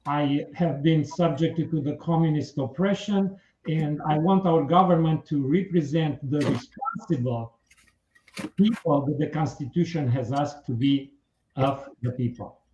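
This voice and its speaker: A man with a Romanian accent, a political candidate speaking earnestly in a radio interview, with halting, measured phrasing. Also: fairly high pitch, a little mellow, reverberant, lo-fi sound.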